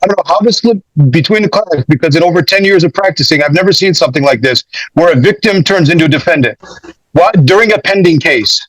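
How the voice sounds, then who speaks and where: Higher-pitched man, emphatic defense attorney. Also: quick pace, fairly bright tone, husky, dry recording, rough recording. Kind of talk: courtroom argument.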